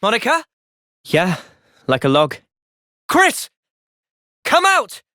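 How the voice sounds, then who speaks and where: A man voicing a thoughtful, loyal young hero in a video game, with an earnest, measured delivery. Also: sits high, wildly swooping pitch, sharp tone, no room sound, exaggerated voice. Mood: urgent, then calm. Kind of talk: video game cutscene voice acting.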